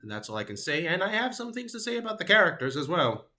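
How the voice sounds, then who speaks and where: Man, bright tense voice, casual review, rambling, hesitant. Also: sits high, very animated, fast talker. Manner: rambling and hesitant, often trailing off. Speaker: anime reviewer.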